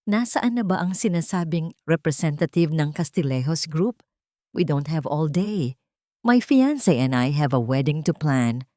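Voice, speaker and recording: A woman's voice: sits low, animated, a little slow, dark tone, no echo, clean studio sound. A woman voicing an arrogant businessman villain in an audiobook drama, haughty and sneering. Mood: impatient. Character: arrogant, impatient businessman villain with mocking contempt.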